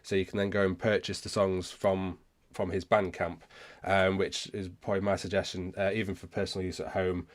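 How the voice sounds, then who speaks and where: A man hosting a casual live question-and-answer session, speaking haltingly and often rephrasing himself as he goes. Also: low-pitched, flat delivery. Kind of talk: casual livestream chat, answering viewer questions.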